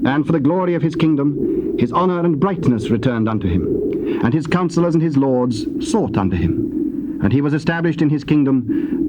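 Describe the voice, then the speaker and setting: Solemn male scripture narrator on noisy tape. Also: medium pitch. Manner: solemn, formal, storytelling. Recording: muffled, very noisy old cassette.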